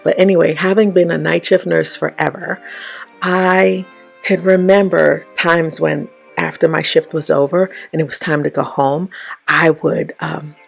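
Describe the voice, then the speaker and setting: A woman with a raspy voice giving casual motivational advice to her viewers, often checking in with "okay?" and "right?". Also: low-pitched, fairly even intonation, unhurried, soft background music. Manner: chatty, frequent "okay?" and "right?" check-ins. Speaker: vlogger and life coach. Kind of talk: casual motivational talk to camera.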